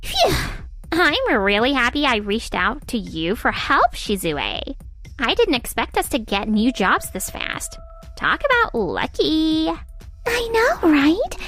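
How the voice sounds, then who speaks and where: An actress performing a spoiled, smug young woman in a romcom manga dub. Her voice is high and swings widely as she talks in dramatic, casual phrases. Soft music plays underneath. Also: huge pitch swings, slow, exaggerated voice. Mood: casual, uneasy. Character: haughty young woman saleswoman, spoiled executive's daughter. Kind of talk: animated manga dub voice acting.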